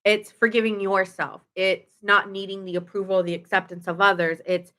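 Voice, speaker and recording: A woman's voice: medium pitch, little pitch movement, normal speed, a bit bright. A woman doing a casual live tarot reading, chatty and rambling with fillers and self-corrections, her nose stuffy. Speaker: tarot reader.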